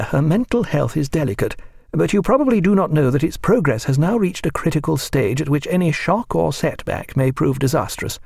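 A man voicing a reserved ship's doctor who speaks in a formal, measured, stern way. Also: fairly high pitch, vocal fry, a little mellow, dry recording, clean studio sound, pitched above the performer's own voice, full character voice.

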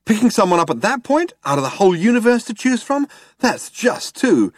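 A man voicing a vain, insecure, cocky spaceman in an audiobook, excitable and acting rather slow on the uptake. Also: high-pitched, dry recording, clean studio sound, pitched above the performer's own voice. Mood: excited. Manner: cocky, excitable, drawn-out bursts. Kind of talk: audiobook character voice.

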